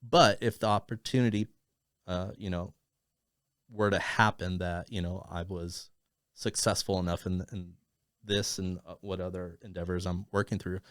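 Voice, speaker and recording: Man, very low voice, casual rambling storyteller, lots of fillers, dry close sound. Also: steady tone, moderate pace, no room sound. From Thailand, raised in Arizona. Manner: rambling, many fillers and restarts. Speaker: podcast guest, business owner.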